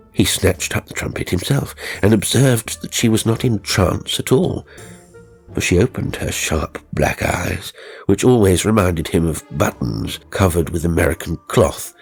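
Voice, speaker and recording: A male audiobook narrator tells a ghost story with measured theatricality. His voice is low and gravelly with a husky grain, and his intonation is richly varied. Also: animated, soft background music.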